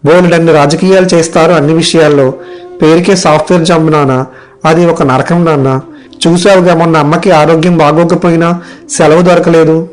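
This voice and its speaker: Man playing a weary, worried young son, flat delivery. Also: fairly high pitch, fairly quick, soft background music, natural voice. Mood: weary, complaining. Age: young adult. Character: weary, worried young male software worker, dutiful son. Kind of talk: animated moral story dialogue.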